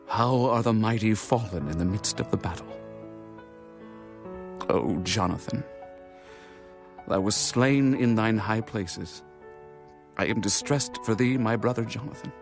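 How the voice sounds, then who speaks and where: A man's voice: on the low side, unhurried, pauses often. Solemn biblical king played by a man, music behind. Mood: grieving. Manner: solemn, declamatory. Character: grieving, regal biblical king David, solemn and earnest. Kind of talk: dramatized scripture acting.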